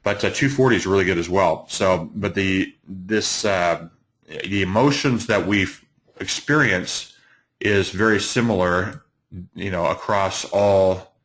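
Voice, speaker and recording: A middle-aged man, slightly hoarse, hosting a live trading webinar with many fillers and restarts. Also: low-pitched, unhurried, a bit bright, poor audio. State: hoarse from allergies. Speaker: trading educator, webinar host.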